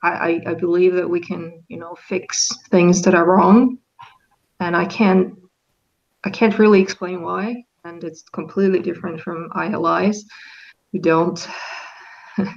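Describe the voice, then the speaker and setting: A woman's voice: lowish voice, steady tone, unhurried, vocal fry, dull audio. A woman from Finland, a guest in a casual conversation, talking thoughtfully with frequent hesitations and restarts. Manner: hesitant, restarts often, reflective. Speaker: interview guest.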